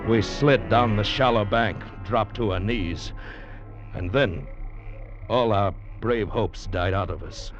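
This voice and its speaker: A low-voiced, loyal convict tells his tale gravely and earnestly. The delivery is deliberate old American radio drama. The sound is dull and dated, with music underneath. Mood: grave, dramatic. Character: loyal, earnest male convict narrating his friend's doom. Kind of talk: 1940s-50s American radio drama acting.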